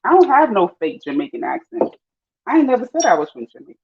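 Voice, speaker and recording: A woman with a Panamanian accent, chatting casually with her viewers while she cooks, lively and full of slang. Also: fast, vocal fry, a little hiss or hum. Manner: lively, chatty, slangy, swings high when excited. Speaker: vlogger. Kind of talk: casual live-stream chat while cooking. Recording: dull lo-fi audio.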